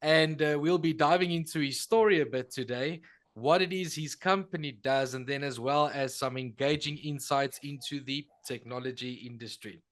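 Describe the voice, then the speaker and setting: A man's voice: on the high side, moderate pace, fairly bright tone. A man hosting a podcast, welcoming his guest and asking questions in an upbeat manner. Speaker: podcast host.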